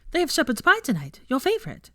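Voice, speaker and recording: Woman playing a cheerful, prim young witch professor, lively pitch. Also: quick pace, dry recording, clean studio sound, full character voice. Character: cheerful, firm, self-assured young witch professor, aged twenty-four.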